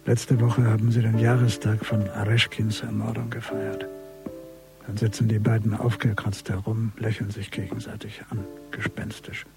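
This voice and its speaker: An actor playing a bitter, haunted military man's inner thoughts in an intimate radio drama monologue, with a gravelly voice. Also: on the low side, monotone, moderate pace, over music. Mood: weary, bitter. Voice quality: creaky, gravelly.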